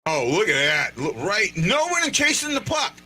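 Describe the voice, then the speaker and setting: A man hosting a live fan show, giving rambling, excitable and sarcastic commentary while watching a game. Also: high voice, normal speed.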